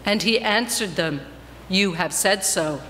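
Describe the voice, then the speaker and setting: Woman doing a solemn bible reading, bright voice, big echoey church w some noise. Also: medium pitch, unhurried. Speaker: reader in a church Passion reading. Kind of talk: dramatic scripture reading. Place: large church, before a congregation. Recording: echoing church hall, noticeable noise.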